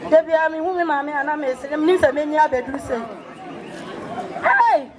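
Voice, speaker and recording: An actress playing an excitable, loud woman in a school stage play. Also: sits high, crowd noise, lightly acted. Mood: excited. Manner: exclamatory, rapid and animated. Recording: echoey hall with a noisy audience.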